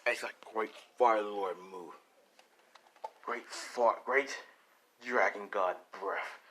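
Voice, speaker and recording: A man's voice: fairly low pitch, slow, pushed and bright, a little hiss or hum, pitched below the performer's own voice. A man voicing a commanding fire lord calling out a fire breath attack in an amateur story.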